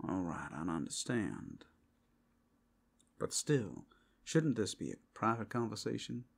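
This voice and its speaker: Casting: male actor as wary fantasy tavern host, protective, politely challenging, husky voice, for audio roleplay. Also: on the low side, very animated, a little slow, heavily acted.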